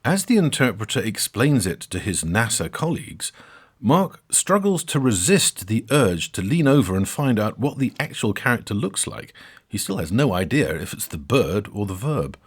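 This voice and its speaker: A man narrating a scripted comic story for a podcast, wry and theatrical with dramatic pauses. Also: lowish voice, very animated, breathy edge.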